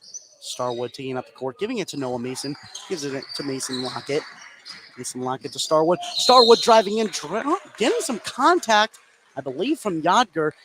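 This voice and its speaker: A male American radio announcer delivers live basketball play-by-play in a fairly high voice. He calls the action excitedly. Clear venue noise runs behind him. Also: normal speed. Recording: live game broadcast with clear background noise. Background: sports venue noise.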